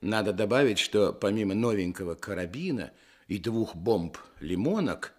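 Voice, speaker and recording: An actor playing a storyteller in a Russian radio play, narrating with measured, gentle irony. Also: male voice, on the low side, lively intonation. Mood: wry, ironic. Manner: measured, ironic.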